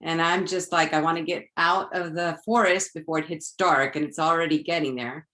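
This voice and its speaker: A woman's voice: low-pitched, moderate pace. A woman from Florida, moderating an online group, tells a personal story casually and acts out the dialogue as she goes. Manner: animated retelling with acted-out dialogue. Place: online live video call.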